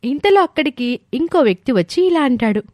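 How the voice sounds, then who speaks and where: A woman's voice: sits high, lively intonation, fairly quick, darkish tone, dry recording, studio quality. A woman reading a scripted moral story as its narrator. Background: background music.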